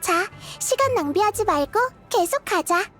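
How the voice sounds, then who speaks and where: Tiny girl goddess of wisdom, very high childlike pitch, gentle, curious, soft-spoken, game character lines, music behind. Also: animated, measured pace, full character voice. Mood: patient, pleased. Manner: soft-spoken, thoughtful, kindly explaining. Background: background music.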